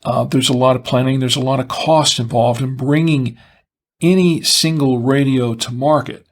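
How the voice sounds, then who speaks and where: A man with a medium-pitched voice presents a product rundown. He speaks as a reviewer working partly from a script, explaining details and itemizing them in measured, short phrases. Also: steady tone.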